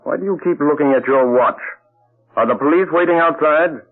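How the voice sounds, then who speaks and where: An actor playing a cold, measured male mad scientist who threatens quietly, in an old radio drama heard as a tinny broadcast. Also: a little high, natural voice. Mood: menacing. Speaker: villain inventor. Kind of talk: 1940s radio acting.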